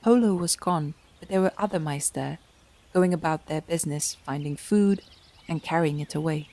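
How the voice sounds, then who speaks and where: A woman's voice: low-pitched, moderate pace, warm tone. A woman narrating an animal tale as a scripted storyteller in an audio drama.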